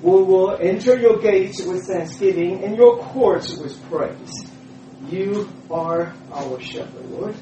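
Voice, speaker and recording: A man introducing a church speaker and leading prayer, halting with frequent filler pauses. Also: high-pitched, dark tone. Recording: echoey, distant, noisy room mic.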